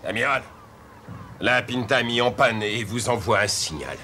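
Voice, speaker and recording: An actor voicing a loyal, cautious male ship's officer with a gravelly voice, speaking earnestly in an animated cartoon. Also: mid-range voice, moderate pace, slight background noise. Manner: earnest, warning.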